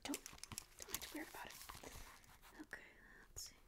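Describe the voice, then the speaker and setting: A woman's voice: normal speed, lightly acted. A woman whispering as a grumpy caring demon. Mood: grumpy, curious. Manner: whispering, curt denials that soften into reassurance. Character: prickly sleep paralysis demon, secretly caring, faux-apathetic. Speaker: ASMR roleplayer. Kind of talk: whispered ASMR roleplay. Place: small dim indoor room. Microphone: close studio mic just off-frame.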